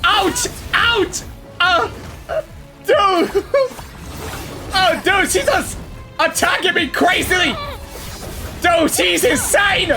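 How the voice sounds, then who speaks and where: A man reacting to a boss fight as he plays, exclaiming and shouting constantly in excitement. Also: very high voice, very slow, pauses often, soft background music. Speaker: gaming channel host. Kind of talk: live gameplay reaction.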